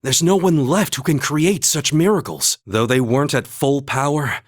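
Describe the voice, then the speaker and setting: A man voicing an earnest, calm young male warrior in a video game, speaking in a measured, sincere and formal way. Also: mid-range voice, lightly acted. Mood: serious, pondering. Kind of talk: video game voice acting, battle calls and dialogue.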